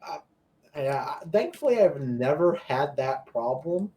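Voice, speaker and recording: A man's voice: on the high side, very animated, slow pace, mellow. A young man from Colorado, a podcast guest and streamer, talking in a laid-back, casual way with some swearing. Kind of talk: casual chat.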